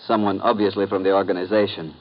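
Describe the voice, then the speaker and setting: An actor playing a courteous Cuban police lieutenant in a 1950s radio drama, measured and formal. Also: male voice, deep voice, flat delivery, natural voice. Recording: dull old radio broadcast, faint noise.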